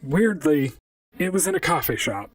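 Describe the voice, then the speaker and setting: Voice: medium pitch, sing-song, normal speed, far from the mic, natural voice. An actor voicing a wry, talkative robot private detective in an audio drama, speaking and narrating in a dry, conversational noir manner.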